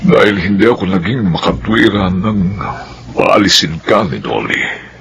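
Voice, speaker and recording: A man's voice: low voice, very animated, unhurried, gravelly, fairly bright tone, slight background noise, full character voice. An actor in a radio drama playing a remorseful, kindly older father and former employer, apologetic and earnest. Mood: regretful, grave.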